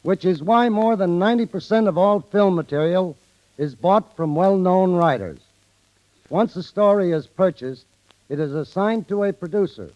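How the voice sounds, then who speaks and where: A man's voice: sits high, moderate pace, darkish tone. A man giving a formal scripted 1930s radio talk as a guest studio executive, reading stiffly. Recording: muffled 1930s radio recording.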